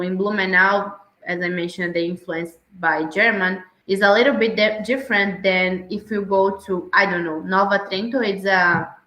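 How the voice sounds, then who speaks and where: A young woman from Brazil speaking English with a Brazilian Portuguese accent, chatting as an interview guest in a halting way, with frequent restarts. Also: mid-range voice, bright, forceful tone, roomy sound, low-quality recording. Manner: halting, restarts often, laughs between phrases. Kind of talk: casual interview chat.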